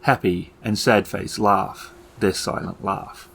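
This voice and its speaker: Low-voiced, slow-paced male game master. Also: fairly even intonation, vocal fry, fairly bright tone, a little hiss or hum. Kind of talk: narrating a tabletop roleplaying game. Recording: music bed under the voice. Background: music.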